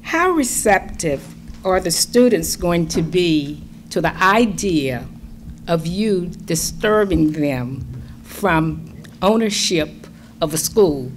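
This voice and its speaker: A woman's voice: medium pitch, slight background noise. A middle-aged woman speaking at a school board public forum, earnest and pleading, stressing words as she goes. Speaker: public commenter. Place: large school meeting room. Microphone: standing mic at a distance.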